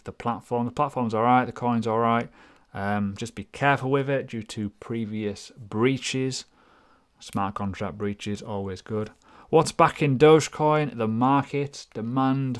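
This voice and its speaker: A man's voice: medium pitch, smooth. A man hosting a casual live question-and-answer stream, chatty and self-deprecating, rambling through asides. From United Kingdom. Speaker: crypto livestream host.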